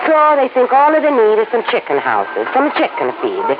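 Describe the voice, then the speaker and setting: An actress playing a shrewd older Italian immigrant farm wife, speaking blunt broken English with an Italian accent in an old radio drama. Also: female voice, on the high side, huge pitch swings, brisk. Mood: scheming. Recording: tinny old radio broadcast with noise.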